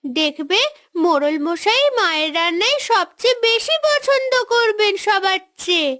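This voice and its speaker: A woman's voice: extremely high pitch, animated, measured pace, a bit bright, exaggerated voice. A woman playing an eager, admiring little village girl in a cartoon fairy tale. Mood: hopeful.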